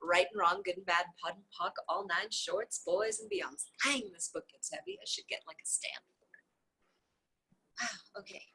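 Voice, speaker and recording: Woman reader, husky tone, mid-pitched, reads a book of conversations aloud plainly. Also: normal speed, poor audio. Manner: reads speaker labels and repeated clearing phrases in an even cadence; occasional soft offhand asides. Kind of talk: reading a dialogue book aloud.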